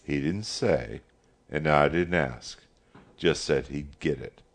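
A man's voice: extremely low pitch, takes it slowly, gravelly, exaggerated voice. A man voicing a proud, determined farmer father in an audiobook, curt and firm. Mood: grim.

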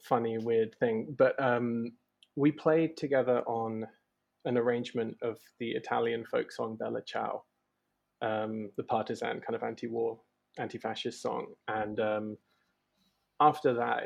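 A man's voice: medium pitch, level tone, a little slow, gravelly, highs cut off. A man in a band talking casually in an interview, hesitant and full of ums and likes. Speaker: band member, guitarist.

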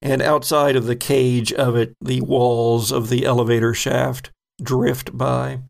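Gravelly-voiced man running a game. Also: medium pitch, flat delivery, measured pace. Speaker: game master. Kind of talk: narrating a tabletop game.

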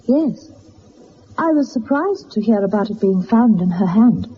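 An actress playing an earnest, distressed young governess who speaks formally and hesitantly in a radio drama. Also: female voice, mid-range voice, lively intonation, measured pace, highs cut off, slight background noise, close to an everyday voice. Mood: subdued, earnest. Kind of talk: dramatised detective radio play.

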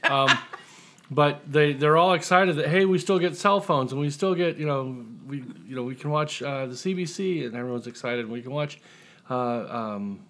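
A man's voice: on the high side, normal speed, a bit bright. A man from the United States chatting as an author guest, explaining things in a conversational way. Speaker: author guest, humor writer and ghostwriter. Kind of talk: casual interview chat.

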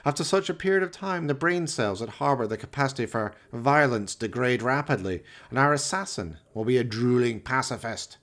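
A man playing an evil, excitable mad scientist in a radio play, pompous, theatrical and fawning toward his master. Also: medium pitch, a little fast, hardly any pauses, voice pushed up. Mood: commanding, grave. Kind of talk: radio play villain acting.

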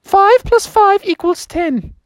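A woman's voice: very high-pitched, expressive, takes it slowly, pitched above the performer's own voice, full character voice. A woman voicing an earnest, insistent female muskrat pupil in a storybook tale.

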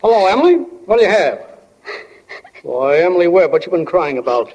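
An actor playing a folksy, chatty older drugstore keeper in a 1940s radio drama, grumbling about progress. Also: male voice, sits high, sing-song, a little fast, a little mellow, highs cut off, heavily acted. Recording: noisy, crackling 1940 radio broadcast.

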